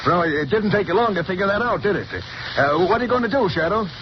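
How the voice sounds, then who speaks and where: A stammering police commissioner. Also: male voice, sits high, animated, fairly quick. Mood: blustering. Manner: hesitant, full of stammers and "uh"s. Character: blustering, scheming male police commissioner. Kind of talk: 1930s radio drama acting. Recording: muffled 1930s radio broadcast with hiss.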